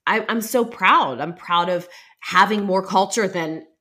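Halting, reflective woman podcast host. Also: mid-range voice, sharp tone. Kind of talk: casual interview chat.

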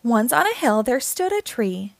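A woman hosting storytime, reading a children's picture book aloud in an expressive, deliberate storytelling manner. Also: fairly high pitch, gravelly, no echo. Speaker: storytime host and reader.

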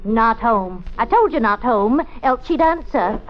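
A woman's voice: on the high side, expressive, a little slow, background noise. Nosy scolding neighbor lady, old radio drama, voice goes up and down a lot, dull sound. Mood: indignant, insistent. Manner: insistent, scolding. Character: nagging, indignant neighbor busybody woman. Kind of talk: classic radio drama acting. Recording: muffled old radio recording.

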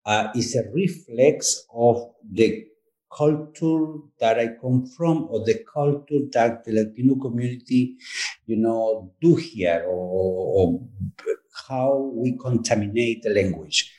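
A man from Chile with a Chilean Spanish accent, a native Spanish speaker, speaking as an author in a podcast interview, halting and searching for words. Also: medium pitch, mellow, poor audio. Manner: halting, searching for words, frequent "you know what I mean". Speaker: author and performer, guest.

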